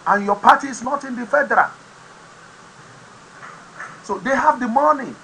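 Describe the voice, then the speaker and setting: A Nigerian-accented man, a native Yoruba speaker, hosts his own program and lectures viewers on politics. His voice is bright and forceful, and he swings into loud, emphatic bursts. A faint hum of noise sits under the voice. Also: extremely high pitch, normal speed, poor audio. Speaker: host of an online talk program. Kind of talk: impassioned political commentary to camera.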